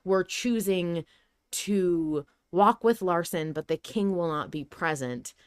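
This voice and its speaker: A woman's voice: lowish voice, slow, smooth, no echo, studio quality. A woman co-hosting a podcast in casual discussion, reasoning aloud and giving her opinions. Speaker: podcast co-host.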